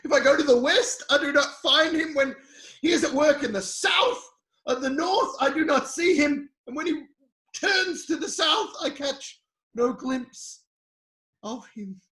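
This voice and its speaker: A man playing an anguished, devout sufferer, bitter yet defiant, in an impassioned, halting dramatic scripture reading. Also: extremely high pitch, exaggerated voice. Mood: searching despair. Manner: impassioned, halting delivery swinging from cries to hushed lines. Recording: echoey room.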